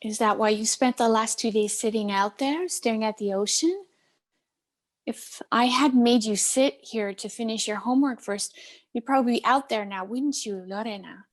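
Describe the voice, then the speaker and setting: A woman's voice: on the high side, moderate pace, close to an everyday voice. A woman playing a caring but firm middle-aged Cuban aunt in a play table read, coaxing and mixing in Spanish endearments. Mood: exasperated.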